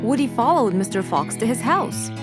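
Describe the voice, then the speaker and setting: A woman's voice: a little high, sing-song, moderate pace. A woman narrating a scripted children's story, with music under her voice.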